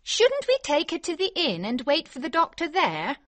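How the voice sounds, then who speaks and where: A man's voice: extremely high pitch, huge pitch swings, moderate pace, pushed and bright, pitched above the performer's own voice, full character voice. A man reading aloud, voicing a spirited young woman in a storybook. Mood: urgent. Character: spirited young woman, Louisa, then Anne.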